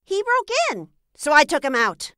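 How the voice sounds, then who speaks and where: A woman's voice: sits high, huge pitch swings, normal speed, sharp tone, no echo, clean studio sound, exaggerated voice. A woman playing a small, tough superheroine, earnest and matter-of-fact, in a comedy audio drama. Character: small, tough, wounded superheroine, martial arts expert.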